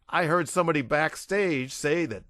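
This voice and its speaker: A man playing a brash young punk singer in an audiobook, yelling rowdy, goading stage banter. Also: a little high, normal speed, no echo, clean studio sound, pitched above the performer's own voice.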